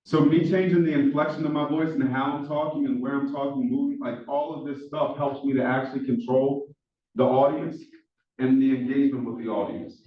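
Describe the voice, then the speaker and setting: A man with a Philadelphia accent lecturing a class as instructor, talking quickly with lots of filler words. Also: mid-range voice, distant mic. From Philadelphia, USA. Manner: talks fast with many fillers, says he is monotone. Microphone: far room microphone. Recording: echoey classroom recorded from afar, dull sound.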